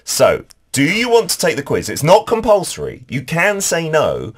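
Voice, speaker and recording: Male radio host with a lively, animated delivery. He teases his guest and laughs easily. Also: a little high, moderate pace. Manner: lively, laughs often, playful teasing. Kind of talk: casual radio chat. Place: radio studio.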